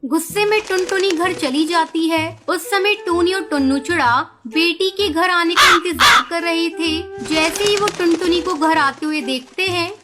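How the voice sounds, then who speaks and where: Female narrator for children's tales, very high voice, prominent music underneath. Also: measured pace. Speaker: story narrator. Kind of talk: scripted children's story narration. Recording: music behind the voice.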